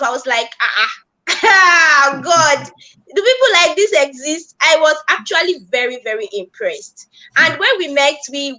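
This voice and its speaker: Gushing high-voiced hostess. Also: female voice, pushed and bright, rough recording. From Nigeria. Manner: gushing, effusive, laughs often. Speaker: webinar host, nurse. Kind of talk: hosting a webinar. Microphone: video call.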